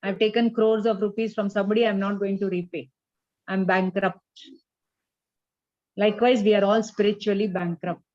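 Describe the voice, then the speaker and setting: A woman with an Indian accent giving a spiritual class, explaining deliberately and checking that listeners follow. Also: medium pitch, little pitch movement, a little mellow, no room sound, dull audio. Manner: didactic, deliberate, checks understanding with questions. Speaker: religious teacher.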